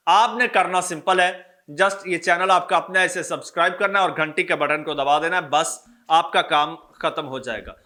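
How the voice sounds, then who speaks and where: Bright, high-voiced Pakistani man delivering news commentary. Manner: emphatic, repeats phrases for stress. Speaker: political news vlogger, host. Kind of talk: news commentary addressed to viewers.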